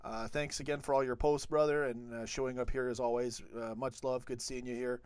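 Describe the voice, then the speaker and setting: A man's voice: mid-range voice, flat delivery, fairly quick, a little mellow, clear, resonant tone, dry recording. A man, a young adult, hosting a casual live stream, laughing often and reading out names from the chat. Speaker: host.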